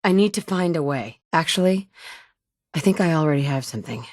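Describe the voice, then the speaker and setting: Determined mother in a game: blunt, dry-witted, lower register, close dry booth read. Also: animated, fairly quick, no echo. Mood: determined, wry. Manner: dry wit, blunt and curt when annoyed. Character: determined mother searching for her son, wry. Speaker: player character. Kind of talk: game dialogue lines. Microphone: close studio mic.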